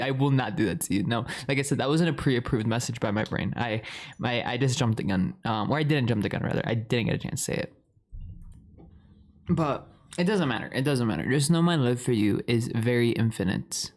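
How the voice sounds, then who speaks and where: A man's voice: mid-range voice, expressive, normal speed. A young man playing a chatty, lovestruck boyfriend in an improvised ASMR roleplay, rambling in a playful, dramatic way. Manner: rambling, giggly, playfully dramatic, frequent swearing.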